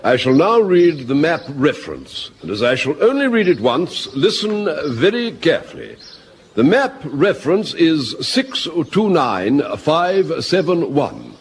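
An actor playing a clipped, commanding army colonel in a radio sitcom. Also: male voice, on the high side, big pitch swings, measured pace, slight background noise. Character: crisp, authoritative male army colonel.

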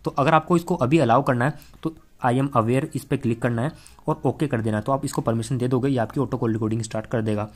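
A man presents a tech tutorial. He talks at a fast clip in a mid-pitched voice. His delivery is conversational and explanatory, going step by step and often checking that the listener follows. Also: steady tone. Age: 20s. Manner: conversational, explains step by step with frequent tag phrases. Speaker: host of a tech tips channel. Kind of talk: explanatory tech vlog to camera and screen tutorial. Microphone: clip-on lapel mic.

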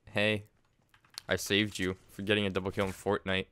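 A young man streaming a game from his room, chatting excitedly and repeating himself. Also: low voice, moderate pace, clean tone. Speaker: gaming streamer. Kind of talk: casual gameplay chat. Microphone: desk mic, wearing headset.

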